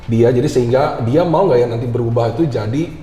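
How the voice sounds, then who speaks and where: A man's voice: mid-range voice, brisk, warm tone, roomy sound, faint music behind. A man with a Jakarta Indonesian accent chatting informally as an interview guest, mixing English words into his talk. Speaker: guest, IT university lecturer. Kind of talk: casual interview chat.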